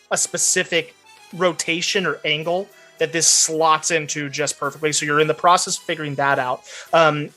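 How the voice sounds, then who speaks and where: Female game master, bright and forward, guiding players conversationally over faint music. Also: sits low. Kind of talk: narrating a tabletop role-playing game. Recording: music behind the voice.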